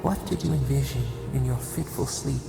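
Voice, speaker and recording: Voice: medium pitch, moderate pace, gravelly. An actor playing an ancient, solemn nature spirit in a video game, speaking cryptic, poetic, portentous lines with an echo. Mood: portentous, reverent. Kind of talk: video game dramatic narration. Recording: echoing voice under prominent music. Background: loud music.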